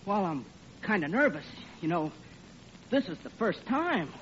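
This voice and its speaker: A bashful, naive young man about to marry, his pitch jumping around nervously. It sounds like a muffled 1940s radio comedy with clear background noise. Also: very animated, unhurried, a bit bright, full character voice. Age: young adult. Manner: hesitant, bashful. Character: nervous, naive young groom-to-be, polite aircraft worker. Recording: muffled noisy old radio recording.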